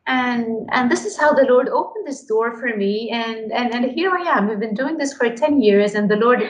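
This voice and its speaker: Woman, earnest, repeats sentence starts, slightly high voice, roomy echo, faint background noise. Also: normal speed. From Lebanon. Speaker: radio program director. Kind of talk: podcast interview, storytelling. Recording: echoey room, faint noise.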